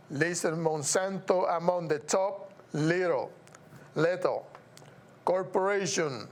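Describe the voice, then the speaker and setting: A man from Puerto Rico with a Puerto Rican accent, hosting his own show in a measured, emphatic way, now and then repeating words or stumbling over them. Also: sits high. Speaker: show host. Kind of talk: slow, deliberate solo commentary.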